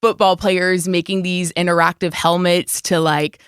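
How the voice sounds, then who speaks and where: A young woman from Florida, a sports reporter with a naturally low voice, answering interview questions in a chatty, upbeat way. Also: little pitch movement, moderate pace, smooth, dry recording, studio quality. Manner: chatty, upbeat, lots of "like" and "you know".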